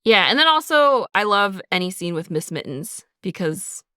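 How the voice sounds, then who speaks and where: A woman's voice: mid-range voice, sing-song, normal speed, bright, forceful tone, smooth, no room sound, studio quality. A woman co-hosting a casual behind-the-scenes podcast, speaking informally with lots of "like". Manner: informal, peppers talk with "like". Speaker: podcast co-host, audio drama writer and sound designer.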